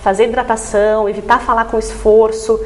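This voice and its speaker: Female expert guest for TV interview, higher-pitched, explains step by step, checks in often. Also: little pitch movement, a little fast. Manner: didactic, frequent tag questions seeking agreement. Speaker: voice therapist guest.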